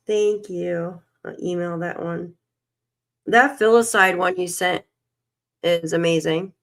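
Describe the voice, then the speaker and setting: Tired, creaky-voiced woman streaming. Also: on the low side, steady tone, takes it slowly, fairly bright tone. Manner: distracted, mutters to herself while searching. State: losing her voice, coughing. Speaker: livestream host. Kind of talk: casual livestream chat while reading documents.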